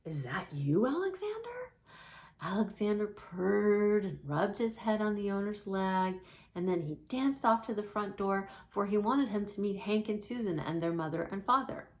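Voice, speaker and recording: A woman reading a storybook aloud for children in a gentle storytelling manner, like a children's librarian. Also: mid-range voice, normal speed.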